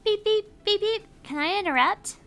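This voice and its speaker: A perky AI helper chirps out beeping announcements in a high, bright voice, as a woman reads her from a visual novel. She is quick and quirky. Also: lively intonation. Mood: chipper. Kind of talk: reading visual novel dialogue aloud.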